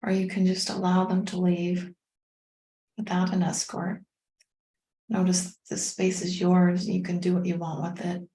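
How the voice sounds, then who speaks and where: A woman leading a guided meditation as a teacher, speaking in a gentle, unhurried, soothing way with pauses. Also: lowish voice, monotone. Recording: roomy, echoing sound.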